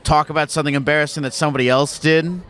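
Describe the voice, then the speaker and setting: A man's voice: on the high side, fairly even intonation, rapid-fire, fairly bright tone. A man interviewing people on a city street, chatting casually and reassuringly with lots of fillers.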